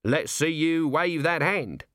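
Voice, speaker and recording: A man's voice: a little high, takes it slowly, fairly bright tone, no room sound, clean studio sound, pitched above the performer's own voice. A man voicing a scruffy, dim, nervous corporal who talks in crude colloquial blurts, for a comic fantasy audiobook. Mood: tipsy, pleased. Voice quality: wheezy. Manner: colloquial, dropped standard grammar, blurting.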